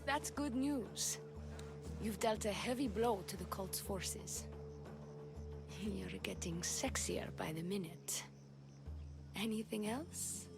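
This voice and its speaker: Priestess leader for a video game: composed, flirtatious, commanding, medium pitch, music behind. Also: female voice, slow, pauses often. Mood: pleased, flirtatious. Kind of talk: video game dialogue acting.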